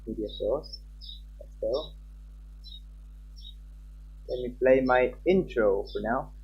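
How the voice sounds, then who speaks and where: A man's voice: medium pitch, slow pace, highs cut off, a little hiss or hum. A man streaming live, chatting casually with viewers and talking to himself as he fiddles with his stream settings.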